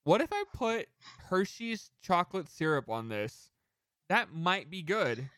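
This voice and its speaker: A man with a fairly high voice chats as a podcast co-host in a very dry recording with hardly any room sound. He tells anecdotes in a rambling, animated way and drops casual swear words. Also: a little slow, smooth, no room sound.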